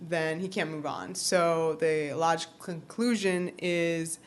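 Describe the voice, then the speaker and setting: A woman's voice: low-pitched, steady tone, takes it slowly, clear, resonant tone. A woman co-hosting a podcast, recapping a TV show in casual chat and often breaking into giggles. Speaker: podcast co-host.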